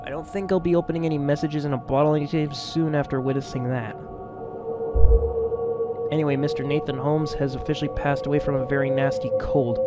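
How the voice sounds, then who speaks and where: A man's voice: fairly high pitch, steady tone, a little fast, natural voice. Man playing a smug, formal death-game master over music. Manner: formal, mocking, theatrically polite.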